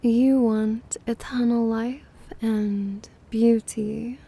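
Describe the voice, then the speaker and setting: A languid, teasing vampire mistress with a youthful air, performed by a woman for an ASMR roleplay. Her voice is fairly high yet mellow, with plenty of creak in a condescending drawl. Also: fairly even intonation, drawn-out words, exaggerated voice.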